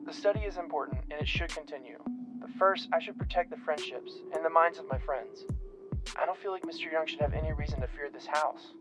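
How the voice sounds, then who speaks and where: A man's voice: a little high, fairly quick, lightly acted. A man playing a cautious, protective researcher in an audio drama, speaking in a considered, analytical, formal manner. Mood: concerned. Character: cautious, protective male researcher, worried for his friends. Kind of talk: scripted audio drama monologue and dialogue. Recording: dull lo-fi sound under music.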